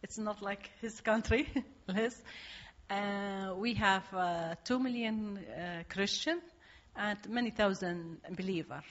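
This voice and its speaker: Slow, hesitant Iraqi woman. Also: medium pitch, highs cut off. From Iraq. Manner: hesitant, simple English with many fillers. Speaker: guest speaker. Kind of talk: church testimony.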